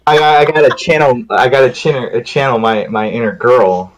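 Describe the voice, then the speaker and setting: A man's voice: medium pitch, lively intonation, fairly quick, low-quality recording. A man chatting casually as a voice actor guest. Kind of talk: casual group chat.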